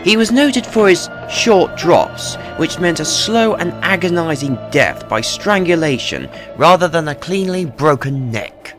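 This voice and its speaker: A man narrating a true tale as scripted, dramatic storytelling in a measured, grave manner. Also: fairly high pitch, animated, over music.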